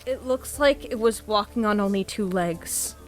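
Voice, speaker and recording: A woman's voice: medium pitch, unhurried, soft background music, close to an everyday voice, low-quality recording. A woman playing an observant police officer who reports matter-of-factly in a horror audio drama. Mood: uneasy. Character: observant female crime scene police officer.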